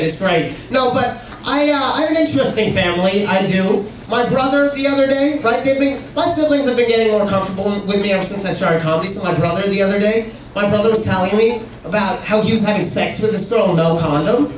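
A man's voice: high-pitched, fast, few pauses. A teenage boy performing conversational stand-up comedy with casual, slangy, exclamatory delivery. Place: indoor comedy club stage. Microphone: handheld stage microphone, picked up from afar. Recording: echoey live club, distant, noisy crowd. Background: loud audience noise.

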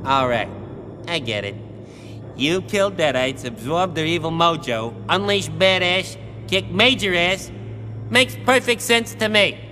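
A brash little undead wisecracker. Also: male voice, high-pitched, lively intonation, a little slow, full character voice. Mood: sarcastic. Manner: sarcastic, brash, slangy. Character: small undead wisecracking male sidekick, mouthy and irreverent. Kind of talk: video game cutscene acting. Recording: loud music behind the voice.

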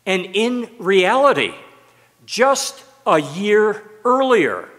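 An older American man, a pastor, preaching a sermon from a pulpit with broad gestures. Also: high-pitched. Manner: slow, expressive preaching with broad hand gestures. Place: church sanctuary, at a pulpit. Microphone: pulpit mic. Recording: long church reverb.

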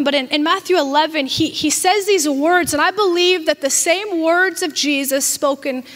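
Woman, 30s, pastor, sermon, high voice, emphatic, repeated words, handheld mic, church hall reverb. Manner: animated and emphatic, with frequent restarts and repeated words. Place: church stage with a small audience.

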